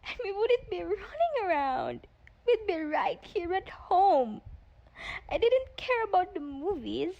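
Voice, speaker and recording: A woman's voice: extremely high pitch, very animated, slow pace, creaky, darkish tone, muffled recording, exaggerated voice. A woman in an amateur radio play acting a pleading, dramatic teenage daughter who is boy-crazy. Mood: distressed, sulky. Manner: pleading, melodramatic.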